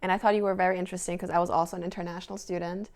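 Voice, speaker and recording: A woman's voice: on the low side, flat delivery, rapid-fire. A young woman from southern Germany, a guest in a casual podcast chat, talking hesitantly with fillers and restarts. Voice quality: creaky.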